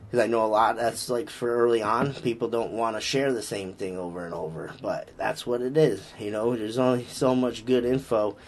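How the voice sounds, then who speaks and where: A man's voice: medium pitch, fairly even intonation, moderate pace, few pauses, a little hiss or hum. A man with a Boston accent, a strength coach chatting casually on a podcast, rambling with lots of fillers and restarts. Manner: rambling, frequent fillers and restarts, upbeat about lifting.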